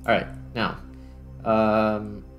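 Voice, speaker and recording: A man's voice: lowish voice, completely flat delivery, drawn-out words, highs cut off. A man hosting a gaming video, thinking aloud in casual commentary as he plays. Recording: music behind the voice. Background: background music.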